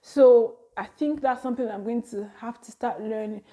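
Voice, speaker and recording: High-voiced Nigerian woman in her thirties. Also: moderate pace. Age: 30s. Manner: chatty, laughs often, animated exclamations. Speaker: vlogger. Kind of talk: casual talk to camera.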